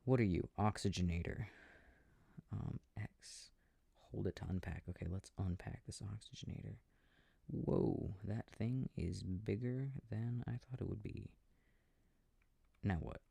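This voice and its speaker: A low, gravelly male actor's take on a boyfriend murmurs his way through a video game in an intimate sleep-aid roleplay. He sounds affectionate and easily confused, talking slowly and casually to himself. The recording is close and very dry. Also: mellow, no room sound, heavily acted. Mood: puzzled, absorbed. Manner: muttering to himself, hesitant, casual. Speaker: audio roleplay performer. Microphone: close microphone, dry. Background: controller clicking sounds.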